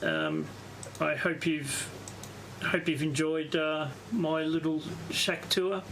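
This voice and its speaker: Creaky-voiced man, halting, noisy recording. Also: fairly high pitch, expressive, fairly bright tone. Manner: halting, unscripted, thinking aloud. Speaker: amateur radio operator presenting his station. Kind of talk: casual live walkthrough.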